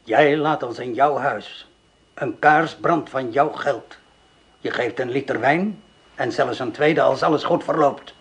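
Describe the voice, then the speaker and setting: A man's voice: mid-range voice, unhurried, slightly rough. An actor playing a sly, mocking male criminal in a radio drama, with an insolent way of talking.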